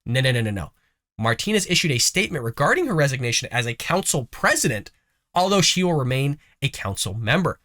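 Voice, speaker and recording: Congested, bright-voiced male show host. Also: medium pitch, fairly quick. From United States. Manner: lively, folksy, sarcastic asides. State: congested, recovering from a cough. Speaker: host of a conservative news show. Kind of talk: casual political commentary.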